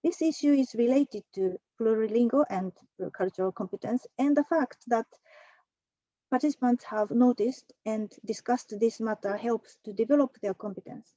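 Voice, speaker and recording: A woman presenting a prepared academic talk at an online conference, measured, with a few fillers. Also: fairly high pitch, dark tone, no echo, highs cut off. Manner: measured, reads from a prepared text with occasional fillers. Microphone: computer mic for an online talk.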